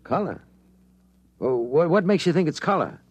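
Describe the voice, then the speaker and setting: Lively, skeptical radio drama newspaperman. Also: on the high side, moderate pace, breathy edge, no room sound. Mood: wry, skeptical. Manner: wry, probing, punchy questions. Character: brisk, skeptical, inquisitive male newspaper reporter. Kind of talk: 1950s radio drama acting with first-person narration. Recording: vintage 1950s radio recording.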